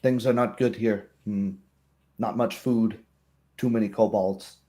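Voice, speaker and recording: A man's voice: fairly low pitch, steady tone, a little slow, mellow, natural voice. A man voicing a small female kobold creature, blunt and scheming, speaking in clipped broken sentences. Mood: guarded.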